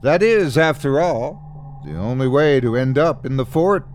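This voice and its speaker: A man hosting a horror podcast, reading a scripted introduction with theatrical, deliberate emphasis. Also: medium pitch, lively intonation, darkish tone, slight background noise. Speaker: podcast host.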